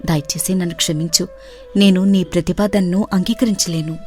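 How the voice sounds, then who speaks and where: Woman playing a clever calm village girl, polite and measured, cartoon story. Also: on the low side, faint music behind, close to an everyday voice. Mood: gentle. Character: wise composed young village woman.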